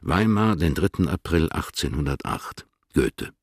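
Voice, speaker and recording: Give the listener an aging, courtly poet in a radio play. His voice is extremely low and gravelly, a little husky. He reads his letters in a measured, formal, indulgent way. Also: lively intonation, no echo, studio quality, exaggerated voice. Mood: formal, composed. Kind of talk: radio drama reading of letters.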